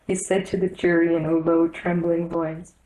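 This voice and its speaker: A woman narrating a scripted storybook in a measured, careful read-aloud manner. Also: on the low side, level tone, warm tone. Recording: roomy echo on the voice.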